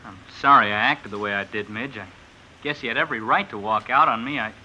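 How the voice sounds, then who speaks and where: Hurt young boyfriend, piercingly forceful tone, sullen, halting, vintage radio drama, faint noise. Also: male voice, medium pitch, lo-fi sound. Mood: apologetic. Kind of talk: 1950s radio drama acting. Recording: noisy vintage radio recording.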